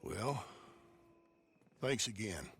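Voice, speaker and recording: A man's voice: fairly low pitch, sing-song, extremely slow, gravelly, fairly bright tone, a little hiss or hum, full character voice. An actor playing an anxious, plain-spoken western townsman in a video game, fretful and earnest. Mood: relieved, grateful. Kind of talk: dramatic video game acting.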